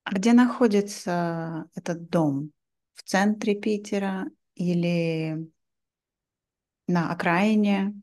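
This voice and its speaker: A woman in her thirties hosting a simplified interview for learners, asking short, clearly phrased questions into a desk microphone. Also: lowish voice, slow pace, dark tone. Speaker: host, interviewer. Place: home room, video call.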